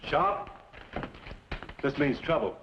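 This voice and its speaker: An actor playing a wary, plain-spoken settler in an old-time radio western, folksy and terse. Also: male voice, fairly high pitch, lively intonation, slow, slight background noise. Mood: wary, resolved. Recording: muffled old radio recording, music behind.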